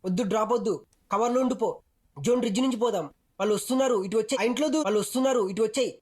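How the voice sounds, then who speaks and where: High, rapid-fire Telugu woman giving callouts. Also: a little mellow, slightly rough. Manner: slangy, bossy, teasing. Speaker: game voice pack speaker. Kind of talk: short punchy game callouts.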